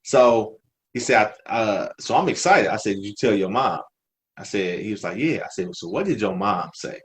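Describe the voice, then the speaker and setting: A man's voice: on the low side, animated, moderate pace. A man as a podcast guest in casual conversation, telling stories in an informal, slangy way and acting out quoted dialogue. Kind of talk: casual storytelling chat.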